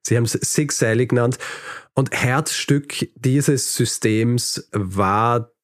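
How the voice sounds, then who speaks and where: A man's voice: medium pitch, slow, no echo. Man telling history, close dry sound. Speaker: podcast host. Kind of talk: conversational history storytelling.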